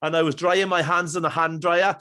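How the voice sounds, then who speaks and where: A man's voice: a little high, fairly even intonation, a little fast, sharp tone. A man hosting a podcast interview, chatting eagerly and rambling through memories. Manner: enthusiastic, rambling with fillers. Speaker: podcast host. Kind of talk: casual interview chat.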